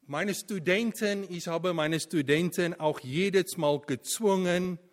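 A middle-aged man whose first language is Afrikaans, preaching an earnest sermon in German with slow, stressed phrases and small grammar slips. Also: high-pitched. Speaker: preacher, former theology teacher.

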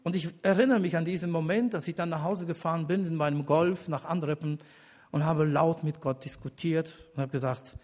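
An older man with a slightly husky, somewhat high voice gives a personal testimony from the pulpit. He speaks deliberately, pausing between phrases, then lifts into emphatic appeals to his listeners. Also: steady tone, a little mellow. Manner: earnest, deliberate with pauses, rising to emphatic appeals. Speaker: church elder giving a testimony. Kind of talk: sermon testimony.

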